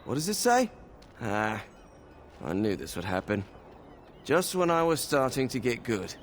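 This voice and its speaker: Curt, resigned young apprentice. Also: male voice, medium pitch, very animated, measured pace, a little hiss or hum. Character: grumbling, disheartened male apprentice in a fantasy city. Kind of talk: fantasy video game voice acting.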